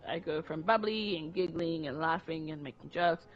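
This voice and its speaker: A woman's voice: low-pitched, normal speed. A woman co-hosting a casual chat, talking candidly and rambling with many restarts. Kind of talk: casual conversation. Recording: dull lo-fi audio with faint music.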